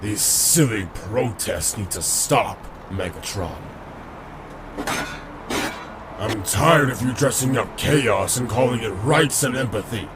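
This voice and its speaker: A man's voice: lowish voice, lively intonation, unhurried, few pauses, noisy background. An actor playing a smug, domineering robot lawman in a comic dub, with a condescending, sardonic manner. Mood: scolding. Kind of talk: dramatic comic dub acting.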